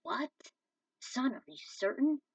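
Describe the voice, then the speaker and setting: A woman's voice: sits high, sing-song, slow, slightly rough, no echo, heavily acted. A woman voicing a formal, concerned father and mentor in a story, with a grave delivery. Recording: dull, distant microphone.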